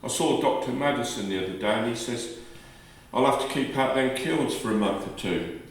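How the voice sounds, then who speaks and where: An actor playing a gruff, short-tempered working-class husband and labourer in a radio play, blunt and irritable. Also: male voice, medium pitch, normal speed, far from the mic, lightly acted. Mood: subdued. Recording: very echoey room, slightly off mic.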